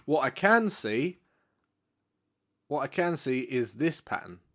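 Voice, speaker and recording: A man with a congested, stuffy nose, walking through market charts in a relaxed way with many fillers and restarts. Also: medium pitch, slow. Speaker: forex trading educator. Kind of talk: live market analysis walkthrough.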